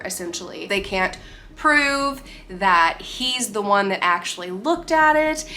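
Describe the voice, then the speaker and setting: A young woman with a nasal, congested voice and short of breath, giving casual, opinionated commentary as a vlogger. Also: medium pitch. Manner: chatty, opinionated, animated.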